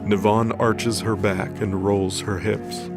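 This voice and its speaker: A man narrates a scripted story in a lowish voice. His delivery stays flat and even, with music clearly playing underneath. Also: slow.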